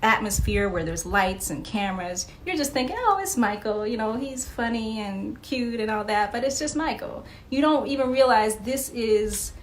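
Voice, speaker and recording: A woman, a podcast guest and author, telling stories in a casual interview, animated and laughing often, with many fillers. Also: a little high, moderate pace, hardly any pauses, slight background noise.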